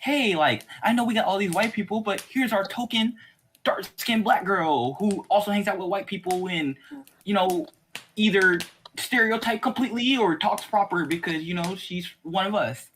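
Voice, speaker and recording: A podcast co-host with a mid-pitched voice talks in a casual, opinionated way. The delivery rambles and keeps qualifying itself, slipping into mimicry of imagined speakers. Faint noise and clicks sit in the recording. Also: moderate pace, a bit bright.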